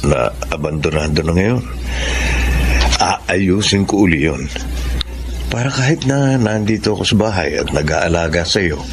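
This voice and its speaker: Remorseful husband played by a man, low voice, earnest, loud music behind. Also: expressive, unhurried, long pauses. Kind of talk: radio drama acting.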